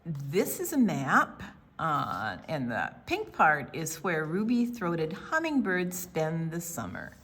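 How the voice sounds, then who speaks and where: An older woman reading a picture book to children as a storytime presenter, with deliberate storyteller phrasing and dramatic pauses. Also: low-pitched, huge pitch swings, a bit bright, breathy edge.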